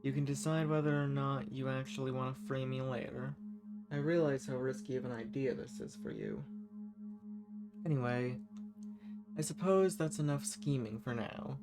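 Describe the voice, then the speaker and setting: A man's voice: medium pitch, normal speed, close to an everyday voice. Flirtatious ancient banished villain, acted by a man, over music. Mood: scheming, intrigued. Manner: flirtatious, teasing, theatrical. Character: banished ancient male villain, seductive, scheming, lonely dream spirit. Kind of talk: roleplay audio drama, intimate monologue to listener.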